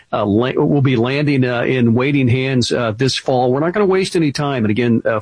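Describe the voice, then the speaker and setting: A man making an earnest fundraising appeal on a radio show, pausing often with "uh" fillers. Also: mid-range voice, no room sound. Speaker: ministry representative on a radio show. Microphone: studio microphone.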